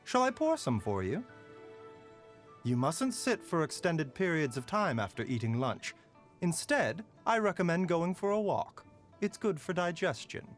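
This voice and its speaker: An actor playing a calm, courteous male doctor in a game, with a soft, measured way of talking. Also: fairly high pitch. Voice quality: soft, measured, sighs and chuckles. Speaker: game character voice lines. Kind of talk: game voice lines.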